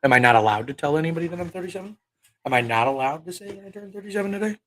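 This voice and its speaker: A man's voice: a little high, animated, racing, fairly bright tone, a little hiss or hum. Combative profane preacher in his thirties. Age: 30s. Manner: combative, profane, rhetorical questions. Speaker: online preacher. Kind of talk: livestream talk answering chat.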